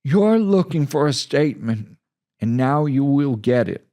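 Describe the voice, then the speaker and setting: A man's voice: mid-range voice, sing-song, measured pace, warm tone, pitched above the performer's own voice. Man playing zealous, emphatic military commander. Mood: fervent, defiant. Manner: declamatory, emphatic, oratorical.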